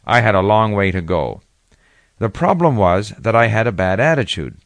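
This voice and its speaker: Older guy reading his own story, lowish voice, dry close sound, calm and measured. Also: dry recording. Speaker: author reading his memoir. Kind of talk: first-person read narration.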